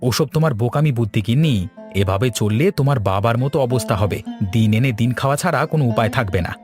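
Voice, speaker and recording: Man acting a thrifty village husband, mid-pitched. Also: animated, brisk, over music, lightly acted. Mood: mocking, grumbling. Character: thrifty middle-aged village husband and cloth trader. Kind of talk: animated moral story dialogue.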